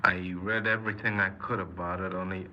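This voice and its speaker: Man acting sullen young crook, low voice, offhand n hesitant, old noisy 1950s radio drama. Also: steady tone, brisk, muffled recording, natural voice. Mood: hesitant, subdued. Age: young adult. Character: sullen, aimless young man confessing his crimes.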